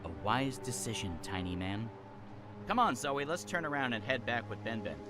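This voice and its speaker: A man's voice: medium pitch, very animated, normal speed, over music, lightly acted. A man voicing a small, wisecracking, cowardly talking bird in a video game, grumbling with melodramatic sarcasm.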